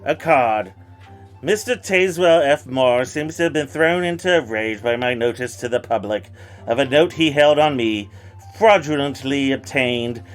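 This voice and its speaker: An affronted gentleman of the nineteenth century, played by a man, defends his honour in a formal, pompous reading. His voice sits at a medium pitch, with faint music behind him. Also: lightly acted. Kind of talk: dramatic reading of old newspaper notices.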